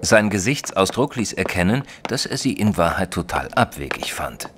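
An actor playing a modest, sensible male companion who narrates a detective audio drama in a measured, literate way. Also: low-pitched, very animated, background noise. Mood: reflective. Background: music.

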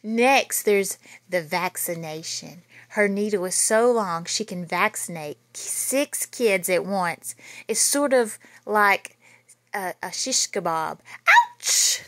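A woman in her thirties reading a children's picture book aloud in a small bedroom, with lively storytelling and playful asides, recorded on a phone camera nearby. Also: medium pitch, dry recording, studio quality. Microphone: phone camera held nearby.

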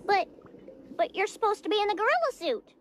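A woman voicing a perky, puzzled cartoon squirrel woman in a squeaky voice. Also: very animated, brisk, background noise. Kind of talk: cartoon voice acting.

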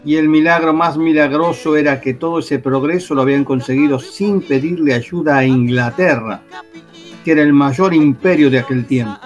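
A man's voice: a little high, normal speed, highs cut off, soft background music. A man patiently explaining history as a storyteller in a radio drama.

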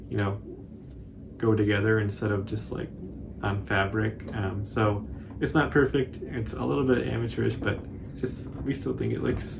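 A man's voice: fairly low pitch, creaky. A man, chatting casually and modestly through a tutorial. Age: 20s. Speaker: cosplay channel host. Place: small room indoors on a couch. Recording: faint background noise.

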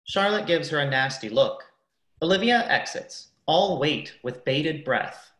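Female narrator, extremely low pitch, scripted stage directions, radio drama, echoing room. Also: animated, measured pace, fairly bright tone. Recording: roomy, echoing sound.

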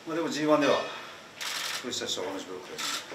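Low, fast-talking man in his forties. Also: very animated. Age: 40s. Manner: low, rapid, confident. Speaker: pro wrestler. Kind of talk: post-match comments. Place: backstage press area in front of a sponsor backdrop. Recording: echoey room, loud noise, far from mic.

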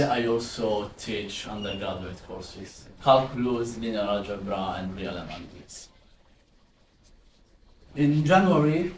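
A man in his twenties from southern Italy, with an Italian accent, presenting as a maths teacher explaining to a class. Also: medium pitch. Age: 20s. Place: indoor classroom with whiteboard and screen. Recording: roomy echo, distant, some background noise.

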